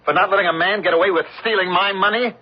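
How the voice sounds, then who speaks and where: A man's voice: high voice, fairly even intonation, fast, breathy edge. An actor playing a hard, defensive businessman troubled by guilt, brusque and impatient, in an old-time radio drama. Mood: defensive, angry. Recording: muffled old radio broadcast.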